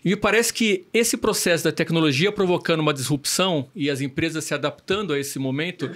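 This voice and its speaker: A man's voice: on the high side, a little fast, a bit bright. A man from São Paulo, a journalist co-hosting a podcast, asking long, articulate framing questions. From São Paulo state, Brazil. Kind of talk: conversational podcast interview.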